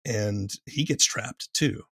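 A man's voice: fairly low pitch, slow pace, fairly bright tone, husky, no room sound, studio quality. A man hosting a conversational podcast interview, offering thoughtful observations and questions.

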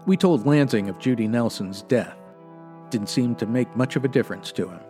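A man playing a stern 1950s police detective with a flat, clipped monotone delivery, in a comedy radio play. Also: medium pitch, moderate pace, faint music behind, natural voice. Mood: deadpan.

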